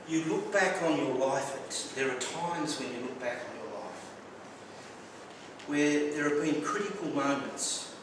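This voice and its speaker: A man from Australia with an Australian accent gives a prepared personal speech, telling stories with wry humour and some stumbling repeats. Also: on the high side. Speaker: club speaker. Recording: very echoey room, distant mic, clear background noise.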